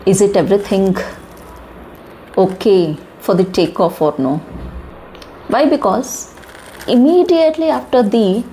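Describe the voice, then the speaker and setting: A woman with an Indian accent delivers news commentary at a slow, deliberate pace. She speaks in short, emphatic phrases and leans on rhetorical questions. There is some background noise in the recording. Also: medium pitch, dark tone, rough recording. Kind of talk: informal news commentary to viewers.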